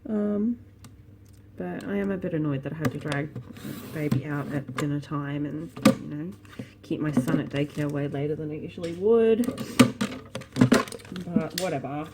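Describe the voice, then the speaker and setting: A woman's voice: low voice, normal speed, gravelly, very noisy. An Australian woman in her thirties, rambling casually as she thinks aloud in a home vlog from her living room. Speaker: vlogger and small online shop owner.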